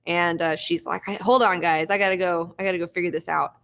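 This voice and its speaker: A woman's voice: on the low side, steady tone, fast, gravelly, a bit bright. A young woman hosting a live recap show, chatting casually, laughing often and swearing freely.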